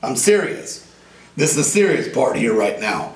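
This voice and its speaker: Raspy-voiced man, rambling and earnest. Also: medium pitch, very animated, moderate pace. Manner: rambling, self-joking, earnest. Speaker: inventor and veteran interviewee. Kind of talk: casual interview talk. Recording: roomy echo, from a distance.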